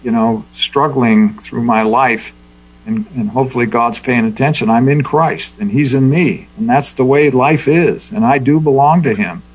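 Husky man leading Bible discussion. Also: mid-range voice, rough recording. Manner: thoughtful, unhurried teaching. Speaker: Bible study leader.

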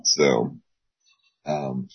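A hoarse man losing his voice, teaching a Bible class in a rambling way with many pauses. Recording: dull lo-fi audio.

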